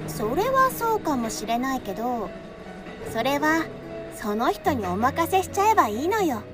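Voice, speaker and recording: An actress playing an eager high school girl who coaxes and insists, in an audio drama. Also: big pitch swings, moderate pace, music in the background. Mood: confident, coaxing. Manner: bright insistence, coaxing. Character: eager, persuasive high school girl, self-appointed manager.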